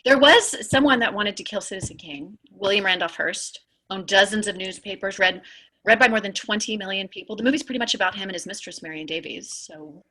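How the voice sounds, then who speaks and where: A woman acts out an eager, excitable historian with a husky mid-range voice. She explains things knowingly and is easily starstruck, as in a TV drama read aloud. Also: mid-range voice, brisk, low-quality recording. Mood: earnest explaining. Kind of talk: amateur table read of TV drama script.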